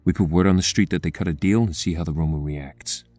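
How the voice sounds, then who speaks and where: A man's voice: low voice, fast, a little mellow, dry recording, soft background music, natural voice. An actor playing a wry, hard-nosed district attorney, blunt and sardonic in his delivery. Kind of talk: dramatic audio acting.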